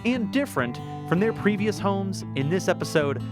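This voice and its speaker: Radio host over guitar music. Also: male voice, high voice, lively intonation. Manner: measured, clear radio delivery. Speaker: podcast host. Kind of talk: scripted narration.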